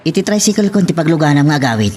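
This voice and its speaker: A man's voice: a little high, animated, rapid-fire, darkish tone, close to an everyday voice. An actor playing a cheeky, eager suitor who drives a tricycle and pleads his case in a serial drama. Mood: breezy, teasing. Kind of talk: radio-style serial drama acting. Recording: faint background noise.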